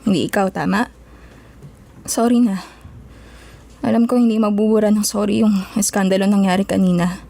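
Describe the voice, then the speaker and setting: A woman's voice: mid-range voice, fairly even intonation, normal speed, vocal fry, pauses often, warm tone. A woman playing a troubled, guilty young friend with a Filipino accent, speaking plaintively in an audio drama. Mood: guilty, apologetic. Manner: plaintive, emotional.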